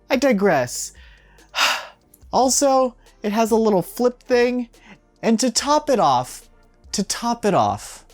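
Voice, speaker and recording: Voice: mid-range voice, darkish tone. A video game news host presenting in a casual, conversational style, with sarcastic and exasperated asides.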